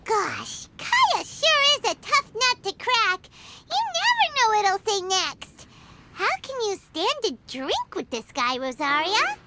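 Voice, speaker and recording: A woman voicing a small, childlike, excitable girl companion sprite in a video game, cheerful and naive with a squeaky delivery. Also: slow pace, heavy background noise, full character voice. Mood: teasing. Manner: refers to self in third person, exclamatory. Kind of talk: English video game voice acting.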